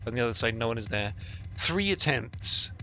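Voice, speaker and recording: A man's voice: mid-range voice, sing-song, moderate pace, pushed and bright, faint music behind. A man giving casual, sweary and sardonic commentary as he plays a game and reads its text aloud. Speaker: game streamer.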